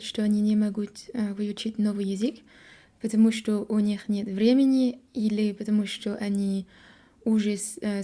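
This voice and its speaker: A young French woman with a French accent, talking to camera in a learner's halting Russian, pausing often to search for words and correct herself. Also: medium pitch, dark tone. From France. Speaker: vlogger. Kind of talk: casual monologue to camera.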